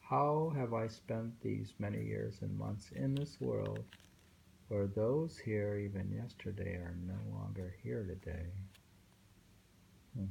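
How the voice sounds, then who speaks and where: A man reading poetry aloud informally and commenting on it in a halting, musing way, pausing often. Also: fairly low pitch, gravelly, darkish tone, low-quality recording. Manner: halting, musing, with frequent pauses. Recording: faint background noise, home recording.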